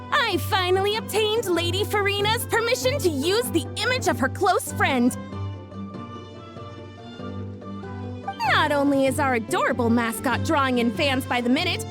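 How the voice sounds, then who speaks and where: An excitable young female inventor from a video game, with a very high and lively voice. She gushes theatrically in formal phrases. Faint music plays behind her. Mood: proud, bubbly. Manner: gushing, theatrical, formal wording. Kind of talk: animated video game acting.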